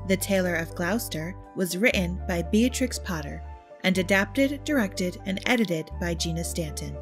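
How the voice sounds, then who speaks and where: A woman reading the closing credits of a radio play in a friendly, even announcer manner. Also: fairly low pitch.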